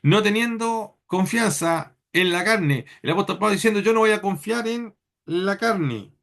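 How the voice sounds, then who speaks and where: A man preaches in a high voice, his plain throughout. He teaches earnestly and haltingly, often repeating words as he gathers his thoughts during a church sermon. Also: gravelly, a bit bright. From Chile.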